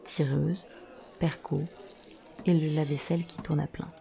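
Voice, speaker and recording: A woman narrates an audio drama from a script. Her voice sits very low for a woman and has a dark, soft-edged mellowness. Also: level tone, moderate pace, vocal fry, some chatter behind.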